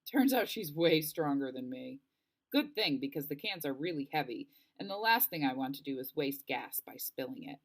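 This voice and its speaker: A woman narrating a children's novel aloud in an expressive storybook style, acting out the dialogue. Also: very deep voice, moderate pace. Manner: animated, acts out dialogue.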